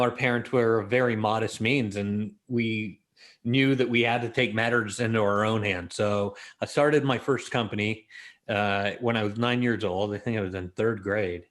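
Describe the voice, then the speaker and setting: A man's voice: fairly low pitch, fairly bright tone. A middle-aged man, born in Israel and raised in Los Angeles, chatting as an interview guest and telling stories with lots of "you know" fillers. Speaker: guest, creativity expert. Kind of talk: casual storytelling interview.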